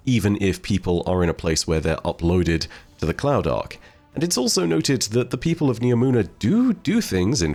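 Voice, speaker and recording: A man giving video game lore commentary in a measured, explanatory, wordy way. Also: deep voice, darkish tone. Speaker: video host. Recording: music behind the voice. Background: background music.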